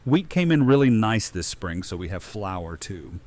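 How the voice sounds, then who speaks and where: A man's voice: on the low side, sing-song, normal speed, a little mellow. A man voice-acting a good-humoured, practical father and survivor in an audio drama, easygoing and matter-of-fact.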